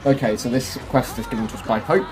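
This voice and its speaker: A man gives casual gameplay commentary as the host of a gaming video. His voice is medium in pitch. Music plays clearly behind him along with vehicle noise from the game. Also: fast. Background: clear music and game engine noise.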